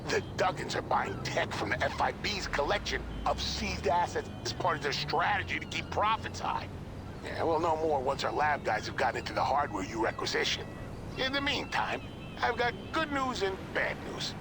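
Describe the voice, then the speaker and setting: An actor playing a wry, conspiracy-minded government agent in a video game, giving sardonic, clipped briefings. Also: male voice, on the high side, big pitch swings, normal speed. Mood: measured, conspiratorial. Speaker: intelligence agency handler. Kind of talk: video game cutscene acting. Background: music.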